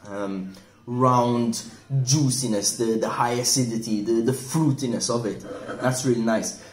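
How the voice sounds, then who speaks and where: A man in his forties, casually reviewing a wine at a table indoors, relaxed and thoughtful, often repeating words as he restarts. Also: mid-range voice. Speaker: wine shop manager reviewing wine. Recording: echoey room with faint noise.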